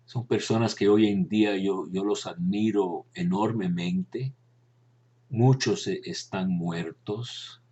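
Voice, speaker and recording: An older man with a Cuban accent, slowly reminiscing about his years as a friar, pausing and restarting as he shows old photos. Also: low voice, dark tone, slightly rough, lo-fi sound. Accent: Cuban Spanish. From Cuba. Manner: reflective, pausing often with fillers and restarts. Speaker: former Dominican friar recounting memories. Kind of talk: unhurried personal reminiscence, showing photos.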